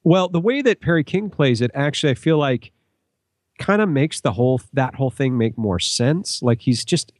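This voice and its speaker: Animated man in podcast discussion. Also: mid-range voice, normal speed, no room sound, clean studio sound. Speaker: podcast panelist. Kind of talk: casual analytical discussion.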